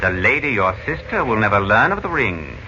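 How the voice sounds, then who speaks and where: An actor playing a sly, servile yet menacing desert messenger in a 1940s-style radio serial, speaking formally and ingratiatingly. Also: male voice, on the low side, sing-song, fairly quick, pushed and bright, full character voice. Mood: ominous, formal. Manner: formal, ingratiating, veiled threats. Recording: dull lo-fi old radio, faint noise.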